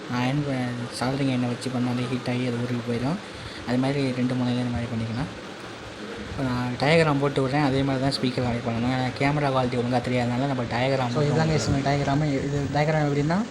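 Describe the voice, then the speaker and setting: A man narrating a casual how-to tutorial as an informal channel host, speaking directly to viewers. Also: mid-range voice. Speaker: host of a DIY electronics channel. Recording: clearly noisy amateur recording.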